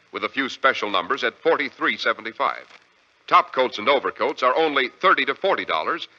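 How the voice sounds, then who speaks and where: An American male announcer delivers a polished 1940s radio sales pitch. His voice is sharp and forceful with lively, emphatic inflection. The sound is dull, like an old broadcast. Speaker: radio announcer reading sponsor ad. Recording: muffled old radio recording.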